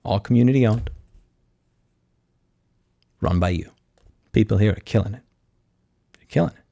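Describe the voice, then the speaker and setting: A man's voice: lowish voice, normal speed, vocal fry, warm tone, no echo. A man speaking off the cuff as a video host, blunt and sardonic, in an informal advocate's monologue. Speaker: YouTube host and consumer rights advocate. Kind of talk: unscripted vlog monologue, screen walkthrough.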